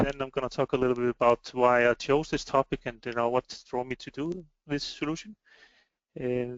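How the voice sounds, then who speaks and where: A man with an Icelandic accent gives an informal webinar presentation in a hesitant, halting way. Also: medium pitch, no echo. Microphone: online web meeting microphone. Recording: dry, slightly muffled online call audio.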